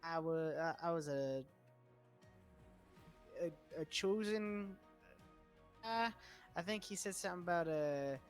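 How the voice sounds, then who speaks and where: A woman's voice: lowish voice, faint music behind. Hesitant woman with Southern drawl. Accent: put-on Southern US. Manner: halting, hesitant, many fillers. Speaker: player. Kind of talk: in-character roleplay.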